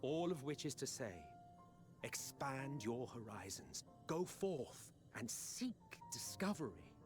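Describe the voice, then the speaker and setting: A proud, theatrical fallen villain, slow-spoken. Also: male voice, mid-range voice, sing-song. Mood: wry, lecturing. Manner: grandiloquent, measured, disdainful. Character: proud, theatrical, defeated male villain, imperious and wry. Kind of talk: dramatic video game acting. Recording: orchestral music under the voice.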